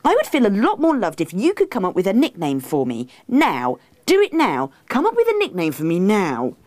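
A woman's voice: medium pitch, wildly swooping pitch, moderate pace, darkish tone, dry recording, pitched above the performer's own voice. A woman voicing a needy, insistent wife, pleading and indignant, in a comic book reading.

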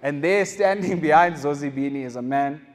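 A South African man in his thirties doing stand-up comedy on a large theatre stage with a handheld mic, animated and acting out characters. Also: fairly high pitch, normal speed, a little hiss or hum. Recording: live hall with audience.

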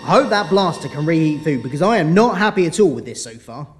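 A man's voice: fairly high pitch, animated, fast, faint music behind, close to an everyday voice. A man playing a jokey, taunting ghost in an audio drama, theatrical and wry.

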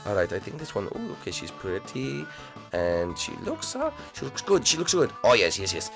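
A performer voicing a boastful male French turtle creature who fancies himself a ladies' man, with a low, slow, self-satisfied drawl. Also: huge pitch swings, creaky, fairly bright tone, soft background music, heavily acted. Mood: sly. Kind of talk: comedic puppet-style skit acting.

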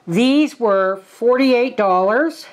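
A woman's voice: a little high, animated, extremely slow, a little hiss or hum. An older woman, giving a casual, plain-spoken, folksy vlog narration in her home kitchen. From Canada. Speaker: homesteading vlogger and host.

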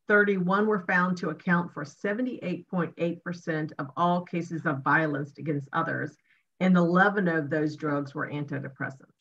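A middle-aged woman speaking as a guest on a video-call panel from her home, upbeat, citing figures and laughing quickly. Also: low-pitched, a bit bright, lo-fi sound. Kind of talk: casual panel discussion. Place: home room with windows and bookshelf. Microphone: video call webcam.

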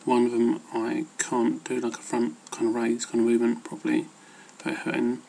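A man's voice: mid-range voice, monotone, moderate pace, gravelly, dark tone, slight background noise, low-quality recording. A man giving casual, rambling advice as an amateur fitness vlogger, hesitant and loosely worded.

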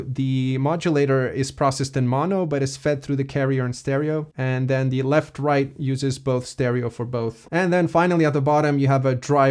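A man giving a casual, explanatory software walkthrough as a music production tutorial presenter. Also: mid-range voice, clean tone.

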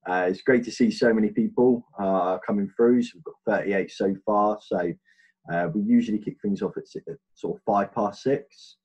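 A man's voice: lowish voice, steady tone, moderate pace, darkish tone, husky. A man casually hosting an online event over a muffled video call. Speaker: event host.

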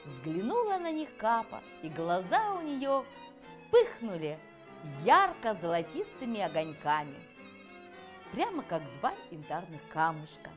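Middle-aged woman, expressive children's storytelling, highly varied intonation, slow pace, soft music underneath. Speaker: author reading her own fairy tale. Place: furnished room with armchair and fireplace, indoors.

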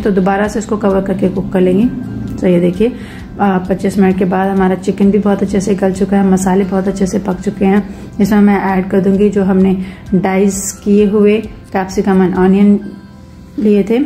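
A woman narrates her home cooking video step by step, switching easily between plain talk and English kitchen words. Her voice is mellow and keeps to a narrow, even melody. Light music runs faintly underneath. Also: mid-range voice. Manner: step-by-step instructive, mixing English cooking terms into Hindi. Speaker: cooking channel host. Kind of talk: casual recipe voice-over.

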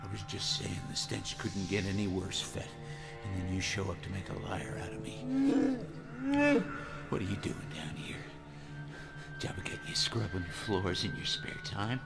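An actor playing a cocky, wisecracking smuggler who is temporarily blind, sarcastic and blustering, in a radio drama. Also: male voice, deep voice, wildly swooping pitch, normal speed, creaky, loud music behind the voice, exaggerated voice. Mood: taunting. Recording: loud film score behind dialogue.

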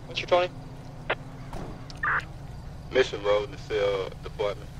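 A man's voice: fairly low pitch, sing-song, measured pace. Man on a noisy police radio. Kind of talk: clipped radio call talk.

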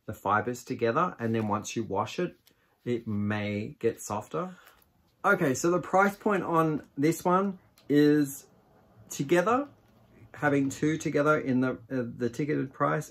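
A man in his forties giving a casual product review to camera, unhurried and musing as he thinks aloud. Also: mid-range voice. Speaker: yarn vlogger, host. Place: small indoor craft room with shelves of yarn.

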